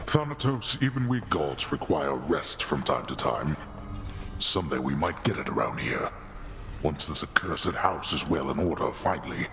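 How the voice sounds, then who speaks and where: Stern old underworld god for a game, super deep, pompous, dramatic swings in tone. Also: male voice, animated, moderate pace, a bit bright, slight background noise, exaggerated voice. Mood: gruffly magnanimous. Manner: pompous, archaic formal speech. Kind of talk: dramatic video game voice acting.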